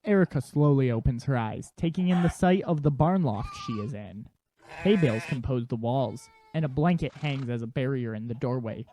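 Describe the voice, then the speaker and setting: Mellow male audio drama narrator. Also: medium pitch, lively intonation, normal speed, a little hiss or hum.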